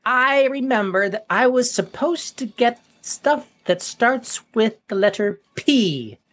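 A woman voicing an excitable, childish young male monkey in a children's cartoon, giddy and whiny. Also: fairly high pitch, slow pace, heavily acted. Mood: chattering. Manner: giddy, whiny, easily distracted.

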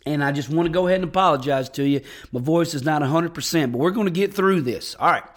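A man preaching as pastor on a podcast, hoarse and raspy from losing his voice, talking in a folksy, enthusiastic way with frequent restarts. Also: fairly high pitch, fast. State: hoarse, lost voice. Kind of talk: podcast sermon.

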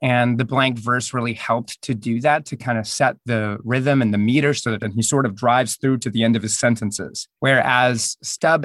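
A man's voice: a little high, fairly bright tone, no echo. A man speaking as an interviewed actor, thoughtfully explaining his craft in a reflective conversation. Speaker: voice actor interviewed about playing roles in an audio drama.

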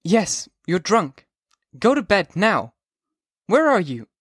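A man's voice: sits high, big pitch swings, takes it slowly, fairly bright tone, breathy edge, dry recording, clean studio sound, pitched above the performer's own voice, full character voice. A man doing a curt, impatient young woman. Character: sharp young woman scolding a drunk caller.

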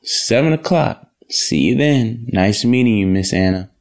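A man's voice: medium pitch, lively intonation, unhurried. A man playing a polite, playful young man from the in an audio drama. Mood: polite, respectful. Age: young adult.

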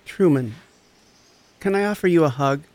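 A man's voice: fairly high pitch, lively intonation, moderate pace, darkish tone, close to an everyday voice. A man acting the part of a compassionate recovery coach in an audio drama, tender, soothing and earnest. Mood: tender, consoling. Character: compassionate, gentle, encouraging recovery coach and friend.

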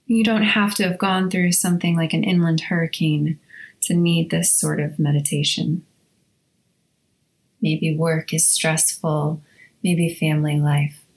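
A woman hosting a guided meditation, speaking in a soothing, measured way with long pauses between short phrases. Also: low-pitched, steady tone, poor audio. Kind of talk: slow guided meditation narration. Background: instrumental music.

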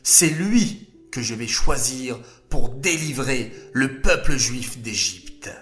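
A man voicing God in a children's story, solemn, calm and commanding, speaking slowly and deliberately. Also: mid-range voice, faint music behind. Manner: slow, grave, deliberate.

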